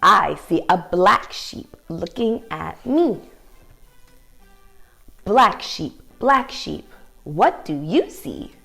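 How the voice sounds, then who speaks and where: A woman's voice: on the low side, sing-song, extremely slow, creaky, pauses often, sharp tone, soft background music. A woman teaching young children through read-aloud and ballet, speaking in an encouraging, sing-song way with simple instructions and questions.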